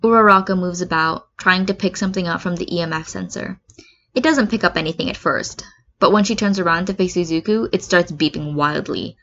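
A woman's voice: on the low side, brisk, fairly bright tone. A woman narrating a scripted piece of fan fiction as a reader. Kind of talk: scripted story narration with acted dialogue. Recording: dull lo-fi recording.

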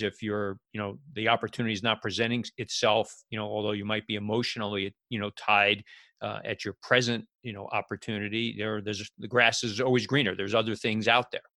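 A man hosting a casual podcast interview, with halting phrasing and repeated starts. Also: fairly low pitch, pushed and bright, no echo, clean studio sound.

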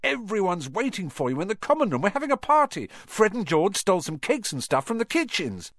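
A man voicing an excited boy who shouts his words loudly. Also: high voice, fairly quick, dry recording, voice pushed up, heavily acted.

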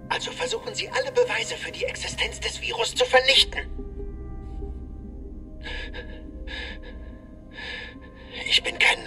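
Man acting a desperate scientist, sharp tense voice. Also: very high voice, creaky, far from the mic, full character voice. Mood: bitter, agitated. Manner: solemn, urgent, confessional. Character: desperate, embittered male corporate scientist confessing on a recording. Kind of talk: dramatic monologue in an audio drama.

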